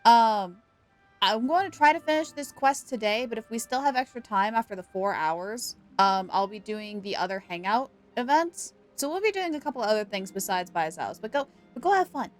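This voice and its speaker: A woman's voice: a little high, expressive, normal speed, faint music behind. A young woman livestreaming a video game, chatting casually in a playful, giggly, exclamatory way. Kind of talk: casual gaming stream commentary and chat.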